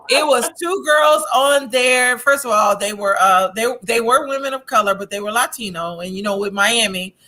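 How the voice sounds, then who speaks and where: Female, American, sharp bright tone, chatty and giggly, recapping like gossip among friends. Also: a little high, moderate pace, poor audio. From United States. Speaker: online video channel creator. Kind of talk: casual chat, gossipy recapping.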